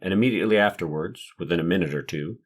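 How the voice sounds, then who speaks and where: Man as police marshal on the stand, very low voice, measured, guarded, formal, courtroom transcript drama. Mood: matter-of-fact. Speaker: actor playing a police witness.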